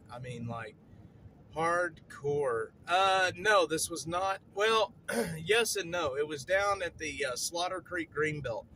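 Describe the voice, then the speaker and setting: A man's voice: a little high, bright, forceful tone, slight background noise. A man with a stutter, chatting casually on a livestream from a city street while moving around, rambling and repeating himself.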